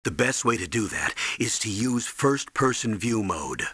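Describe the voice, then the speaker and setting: A stern American colonel briefing an operative in a video game. His low voice is husky and forceful, with clipped, instructional authority. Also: lively intonation, a little slow, no room sound, clean studio sound, heavily acted. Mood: instructive. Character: stern older military colonel giving mission orders by radio. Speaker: mission commander and briefer.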